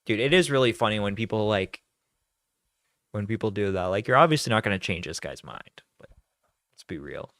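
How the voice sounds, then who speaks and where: A man's voice: lowish voice, lively intonation, fairly quick, long pauses, fairly bright tone, no echo, studio quality. A man doing reaction commentary, mocking and exasperated, swearing freely.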